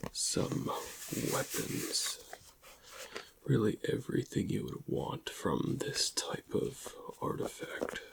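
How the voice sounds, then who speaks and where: A man performs a shady, long-lived alien antiquities dealer in an ASMR roleplay. The voice is hushed and partly whispered, with heavy fry. He takes his time, musing over each item, and his pitch swings widely as he goes. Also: exaggerated voice, rough recording. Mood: curious, appraising. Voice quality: whispery, gravelly.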